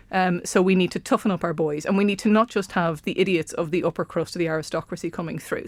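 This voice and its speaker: A woman from Britain talking through history as a guest, lively and chatty with frequent ums and asides. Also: on the low side, dry recording. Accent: British. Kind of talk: casual explanatory chat. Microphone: studio microphone.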